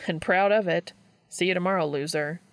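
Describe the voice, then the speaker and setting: A woman's voice: on the low side, normal speed, dry recording, close to an everyday voice. A woman voicing a wry older-brother barista in a storybook reading, bantering and casual.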